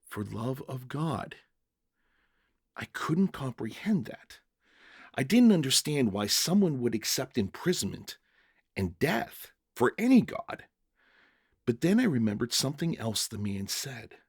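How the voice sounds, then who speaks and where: A man's voice: medium pitch, big pitch swings, a little slow, husky, no echo, clean studio sound, full character voice. A man playing a bewildered, earnest jailer who tells his story in the first person with dramatic, stammering emotion. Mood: baffled, questioning. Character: young-hearted jailer narrator, bewildered, earnest, emotional father.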